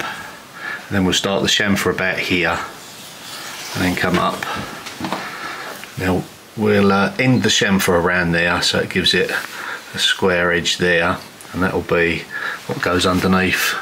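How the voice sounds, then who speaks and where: A man's voice: lowish voice, noisy background. A man, casually explaining his building plans step by step with plenty of fillers, filmed inside a stone outbuilding. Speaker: vlogger renovating a stone cottage. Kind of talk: casual walkthrough vlog.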